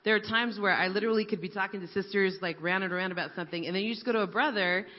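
A woman's voice: mid-range voice, very fast. A woman confidently sharing her view in a group, with light laughs. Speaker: church group member. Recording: echoey hall, dull sound.

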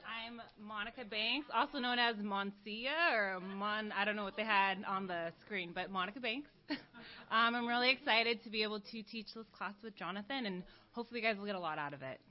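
A woman co-teaching a church class, speaking softly as she introduces herself. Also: mid-range voice, brisk, muffled recording.

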